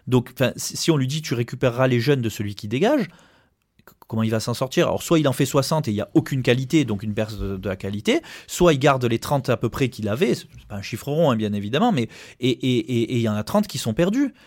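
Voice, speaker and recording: Male, mid-pitched, close dry sound. Argumentative interviewee, emphatic, doubles back on words. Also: expressive, darkish tone, dry recording. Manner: halting, repeats words, emphatic. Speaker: trade union representative.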